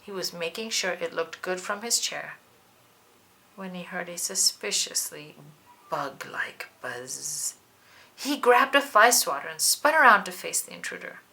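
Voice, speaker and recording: Bright-voiced woman reading a children's story. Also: fairly low pitch, vocal fry. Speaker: children's book reader. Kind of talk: expressive scripted storybook narration.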